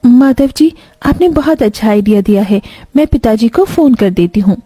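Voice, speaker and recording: A woman's voice: on the high side, animated, moderate pace, mellow, breathy edge, no echo, soft background music. A woman voicing a kind, diligent young daughter-in-law in a cartoon story, polite and reassuring. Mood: hopeful, polite;.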